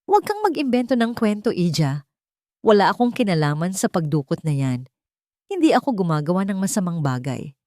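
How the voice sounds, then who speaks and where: Lady doing a rich old mom in a radio drama, sweet but icy and scheming, really animated pitch. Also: fairly quick, no echo, studio quality. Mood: defensive. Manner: gentle with a hidden coldness. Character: wealthy elderly mother, sweet-talking but coldly scheming. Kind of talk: Filipino radio drama acting.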